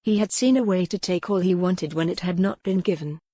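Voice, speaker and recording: A woman's voice: fairly low pitch, fairly quick, dark tone, dry recording, studio quality. A woman reading scripted historical romance narration as an audiobook narrator.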